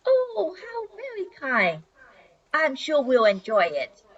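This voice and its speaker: An older woman plays a gracious suburban wife in an old-time radio comedy. Her voice is high and lively in pitch, with polite expressiveness. The sound is somewhat dull and lo-fi. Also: takes it slowly, heavily acted. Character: polite suburban housewife, gracious new neighbor.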